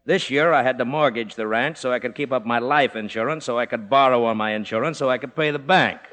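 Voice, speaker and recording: A man's voice: medium pitch, fast talker, fairly bright tone, close to an everyday voice. An actor playing an exasperated, money-strapped Hollywood businessman with a put-upon, complaining manner, in old radio drama style. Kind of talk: 1950s radio drama acting. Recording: reverberant vintage radio recording.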